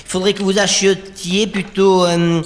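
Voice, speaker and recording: Casting note: man as a pedantic young salon assistant, high voice, echoey live radio room. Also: flat delivery, normal speed, slight background noise, lightly acted. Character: fussy, pedantic young male hairdresser assistant. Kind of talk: live radio comedy sketch.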